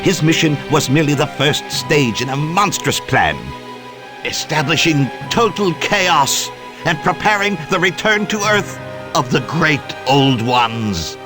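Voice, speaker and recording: An actor playing a smug, traitorous cultist in a video game, mocking and declamatory. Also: male voice, fairly high pitch, expressive, a little slow, over music. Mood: gloating confession. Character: gloating traitorous male cultist, smug villain. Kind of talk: video game villain acting.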